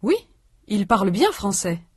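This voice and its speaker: A woman reading a language course aloud, with slow, careful and precise enunciation. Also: medium pitch, very animated, darkish tone, husky, dry recording, studio quality. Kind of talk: scripted instructional reading.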